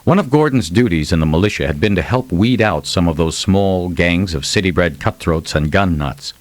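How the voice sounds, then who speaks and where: A man's voice: sits low, animated, normal speed, no echo. A man narrating fiction as a scripted, dramatic audiobook reading.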